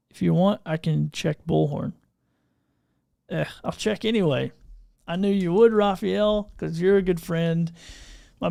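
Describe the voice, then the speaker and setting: A man hosting a livestream podcast, chatting informally and unscripted, thinking out loud as he troubleshoots. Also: on the high side, vocal fry, clean tone, no echo, clean studio sound. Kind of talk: casual unscripted livestream chat. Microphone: podcast microphone through audio mixer.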